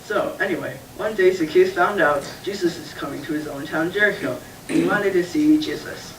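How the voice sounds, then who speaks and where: A man with a high voice patiently tells a Bible story as a puppet character. He sounds far from the mic, in an echoey room. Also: a little fast, fairly bright tone, a little hiss or hum. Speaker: puppeteer playing Carl. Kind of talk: church puppet show, Bible story telling.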